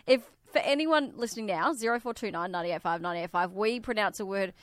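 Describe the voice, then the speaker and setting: A woman's voice: medium pitch, brisk, no room sound. A woman co-hosting a radio show, teasing in a dry, matter-of-fact way. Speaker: radio co-host. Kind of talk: casual on-air banter. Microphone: studio microphone.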